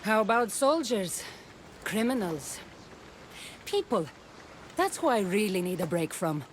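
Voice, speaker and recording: A woman's voice: medium pitch, very animated, a little slow, slight background noise. An actress playing a weary, sardonic mercenary woman in a video game cutscene, speaking casually. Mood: weary, curious. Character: wry, weary Greek mercenary woman in her prime. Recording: music behind the voice. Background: music.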